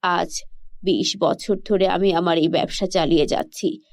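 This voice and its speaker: A woman voicing a firm older mother-in-law in a cartoon, measured and dismissive. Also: on the low side, steady tone, darkish tone, no echo, clean studio sound, voice pushed down.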